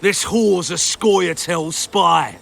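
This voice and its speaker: An actor playing a hot-headed, aggressive soldier hurling accusations in a fantasy video game. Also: male voice, high-pitched, slow pace, gravelly, a bit bright, slight background noise, heavily acted. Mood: hostile. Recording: faint birdsong and outdoor ambience.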